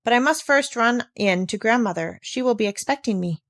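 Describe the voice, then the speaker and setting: Little storybook girl, played by a woman: innocent, headstrong, eager, lightly raised pitch. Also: lively intonation, brisk. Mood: eager, earnest.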